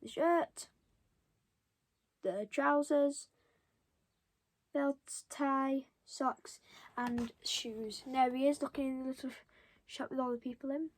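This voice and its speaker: A young child reading a storybook aloud, stopping for halting, chatty asides to the listeners. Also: high voice, steady tone. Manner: halting, commenting on pictures to listeners. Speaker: storybook reader.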